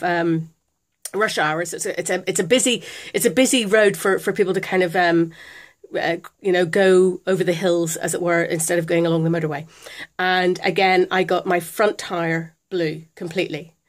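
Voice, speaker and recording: British woman with a chest infection. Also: medium pitch. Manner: chatty, hesitant with many ums. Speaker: vlogger. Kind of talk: casual budgeting tutorial. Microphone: camera phone.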